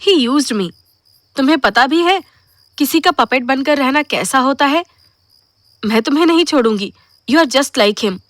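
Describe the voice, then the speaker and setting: Woman acting a high, taunting young ghost woman. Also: lively intonation, measured pace, pauses often, fairly bright tone, dry recording. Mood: furious. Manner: theatrical, taunting, code-switches into English. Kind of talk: audio horror drama acting.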